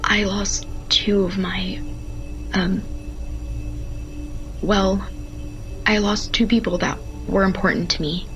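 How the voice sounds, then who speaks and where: Audio drama: actress as bereaved, bitter young scientist, halting, drawn-out delivery, sharp tense tone. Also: female voice, medium pitch, steady tone, dull audio, soft background music. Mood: grieving, halting. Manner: halting, brittle.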